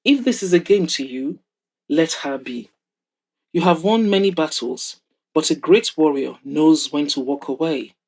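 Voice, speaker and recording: A woman's voice: sits low, expressive, unhurried, a little mellow, slightly rough, exaggerated voice, poor audio. A woman plays a proud, wealthy older mother in an audio drama. She is wry and frank, handing advice to her grown son with a teasing edge. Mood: amused, warning.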